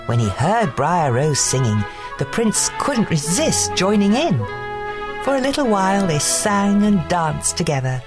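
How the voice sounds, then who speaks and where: Woman, children's storybook narrator, low pitch, lively expressive melody, music behind. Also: unhurried. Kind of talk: scripted children's bedtime story read-aloud.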